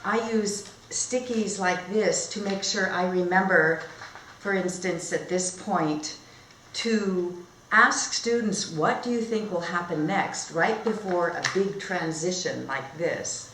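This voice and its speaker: A woman teacher demonstrating read-aloud storytelling and instruction in simple, expressive phrasing. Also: lowish voice, takes it slowly, slight background noise. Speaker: teacher trainer. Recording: echoey room.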